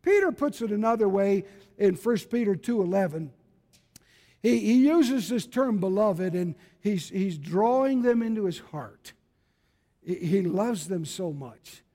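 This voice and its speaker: A man's voice: high-pitched, expressive, measured pace, mellow. A man of about seventy, preaching a fervent evangelical sermon in an exhorting, emphatic way, repeating phrases for weight. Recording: reverberant hall with long echo.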